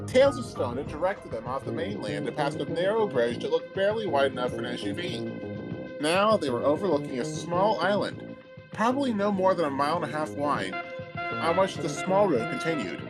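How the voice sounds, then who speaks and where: A man narrating a story aloud in a dramatic, mock-serious way, with theatrical, drawn-out phrasing. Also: on the high side, very animated. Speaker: narrator reading fan fiction aloud with friends. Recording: music behind, deliberately old-fashioned microphone sound.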